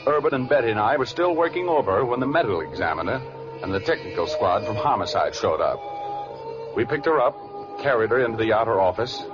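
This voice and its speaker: A man's voice: on the low side, animated, a little fast, lightly acted. A man playing a wisecracking, slangy private investigator in a 1940s-style noir radio drama. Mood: brisk, matter-of-fact. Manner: slangy, wry. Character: hard-boiled, wisecracking male private investigator. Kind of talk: 1940s radio acting, noir first-person narration. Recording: dull old radio recording, loud music.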